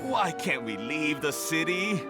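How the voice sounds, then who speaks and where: A man playing a flustered merchant in an animated video game, pleading and agitated. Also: a little high, unhurried, loud music behind the voice.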